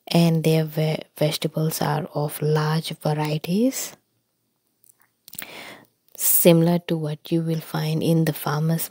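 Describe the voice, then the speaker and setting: A woman from India casually narrating a drive in a car, speaking slowly and softly with many pauses and fillers. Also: low-pitched, creaky, slightly rough, no room sound. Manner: slow, soft-spoken, many ums and uhs. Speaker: vlogger. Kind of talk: casual narration over driving footage. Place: inside a car.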